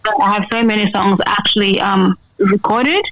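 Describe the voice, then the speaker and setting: A woman's voice: mid-range voice, steady tone, normal speed, a bit bright, dry recording, poor audio. A woman singer-songwriter talking casually in a radio interview, switching between English and another language.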